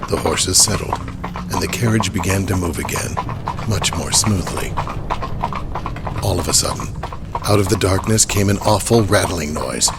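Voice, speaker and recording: A man's voice: deep voice, heavy background noise. A man narrating a horror audio drama in a dramatic, scripted storytelling style.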